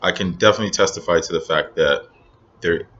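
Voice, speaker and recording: A young man from Nigeria with an American accent, talking reflectively in a casual personal vlog, pausing often with ums. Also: sits low, fairly bright tone, highs cut off. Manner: reflective, many ums and pauses. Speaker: vlogger.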